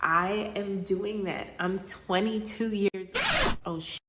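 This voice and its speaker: A woman's voice: mid-range voice, huge pitch swings, takes it slowly, very noisy. A woman in her twenties, a new mother, talking upbeat and chatty to her viewers in a casual vlog, filmed on a phone in a car. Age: 20s. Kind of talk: casual vlog talk to camera. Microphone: phone camera. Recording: loud background music over voice.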